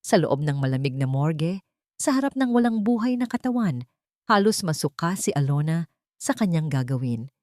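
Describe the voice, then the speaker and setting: Female Filipino audiobook narrator, fairly low register, scripted drama delivery, very dry recording. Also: big pitch swings, normal speed, a little mellow, dry recording.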